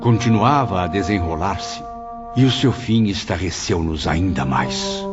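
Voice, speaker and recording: A man's voice: low voice, animated, unhurried. A man narrating an audiobook in a scripted, dramatic storytelling style. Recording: muffled lo-fi audio, loud music behind.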